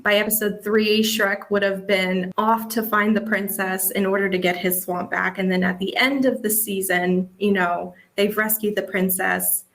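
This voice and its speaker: A woman's voice: mid-range voice, little pitch movement, normal speed, hardly any pauses, fairly bright tone. A woman presenting an interactive workshop as an editor, talking casually and peppering her explanations with "like" and "you know".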